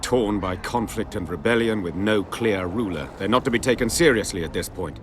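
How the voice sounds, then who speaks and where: Male actor as self-assured courtier, deep, cool, matter-of-fact, formal, fantasy game, background noise. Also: moderate pace, lightly acted. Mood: cool, dismissive. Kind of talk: video game voice acting.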